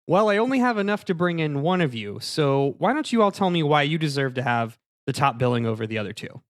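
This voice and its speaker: Male podcast moderator, a touch high in pitch, improvised boss banter, mock-earnest and halting. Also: sing-song. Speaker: moderator of a comedy debate podcast.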